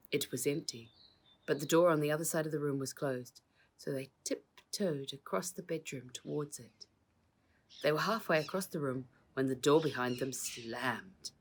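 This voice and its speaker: A woman gives an expressive reading of a children's story. Her voice has plenty of creak as she narrates as the author. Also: extremely low pitch.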